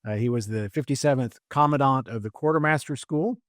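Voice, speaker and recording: A man in his fifties hosts a podcast and introduces his guest with easy enthusiasm. His pitch sits in the middle, and he leans on fillers as he goes. The recording is dry and close-miked. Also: brisk, no echo. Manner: friendly, filler-heavy, enthusiastic. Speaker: podcast host. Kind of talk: casual interview introduction.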